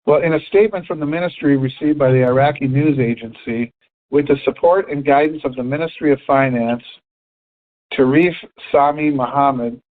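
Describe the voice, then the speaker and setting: Tinny phone-line man, measured explainer. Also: mid-range voice. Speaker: co-host of a financial conference call. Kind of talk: explanatory discussion, partly reading articles aloud. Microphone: telephone.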